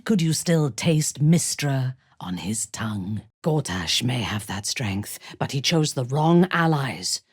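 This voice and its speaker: An actress voicing a ruthless, haughty female warrior commander in a video game, with a husky, breathy voice and an imperious, menacing delivery. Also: a bass voice, big pitch swings, slow pace, few pauses, dry recording, clean studio sound, full character voice. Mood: imperious, scornful. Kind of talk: dramatic video game voice acting.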